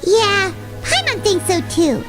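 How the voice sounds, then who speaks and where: Small excitable fairy companion, childlike squeak, extremely high pitch, video game acting, loud music behind. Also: female voice, slow pace, full character voice. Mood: wary. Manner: expressive, refers to self in third person.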